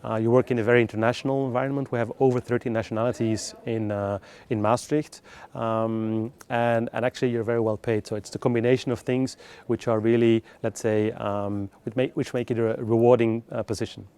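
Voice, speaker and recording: A man giving explanatory interview answers as a recruitment specialist, with measured, hesitant phrasing in non-native English. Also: mid-range voice, level tone, darkish tone, clean tone.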